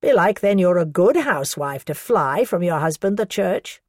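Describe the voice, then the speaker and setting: A woman's voice: lowish voice, lively intonation, normal speed, dry recording, clean studio sound. A woman voicing a stern male bishop who rebukes and mocks a woman before him. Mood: scornful. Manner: scornful, rebuking.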